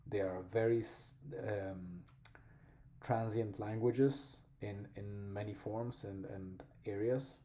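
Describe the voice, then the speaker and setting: Man with a Polish accent, vlogger, unhurried, very dark mellow tone, thoughtful pauses. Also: on the low side, steady tone. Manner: slow, thoughtful, pausing to find words. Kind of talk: reflective personal vlog.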